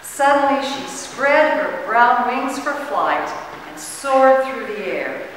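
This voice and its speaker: Expressive woman storyteller in an echoey hall. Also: high-pitched, slow. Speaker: narrator in a dramatic reading. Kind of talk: theatrical storytelling narration. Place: large church hall, distant camera. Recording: very echoey hall, distant handheld camera, noisy.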